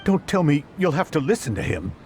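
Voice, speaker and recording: Animated, outspoken young man. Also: a little high, brisk, faint music behind. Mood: alarmed. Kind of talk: English anime game dub acting.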